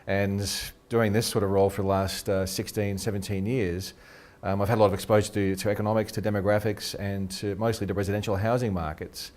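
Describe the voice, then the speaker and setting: Aussie guy rattling off answers, pretty low voice, keeps repeating words as he starts. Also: little pitch movement, darkish tone. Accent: Australian. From Australia. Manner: quick, eager, repeats words when starting sentences. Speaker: guest, head of research. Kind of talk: casual interview talk. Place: radio studio.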